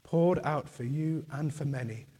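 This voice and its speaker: A man leading communion in a church, reciting liturgical prayers in a slow, solemn, measured way, his voice going. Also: fairly high pitch, noisy background. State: losing his voice.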